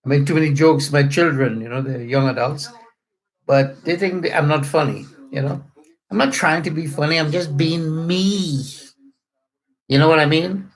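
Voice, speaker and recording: A man's voice: on the high side, moderate pace, rough recording. A middle-aged man from Trinidad with a Trinidadian accent, chatting casually to online viewers in a rambling, joking way. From Trinidad and Tobago. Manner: rambling, joking, spells out words to clarify. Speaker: pastor. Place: a room at home.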